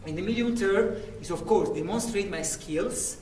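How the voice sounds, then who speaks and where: A man's voice: high-pitched, normal speed, a little mellow, slight background noise. A man from Italy with a thick Italian accent, presenting a sample lecture in an earnest, self-promoting way with frequent restarts. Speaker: lecturer applicant. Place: university room. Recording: echoey room, mic at a distance.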